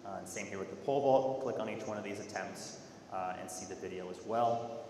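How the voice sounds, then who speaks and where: A man giving an explanatory, halting product presentation at a conference in a large auditorium. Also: fairly low pitch, a little mellow. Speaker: software presenter. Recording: echoey hall with faint noise.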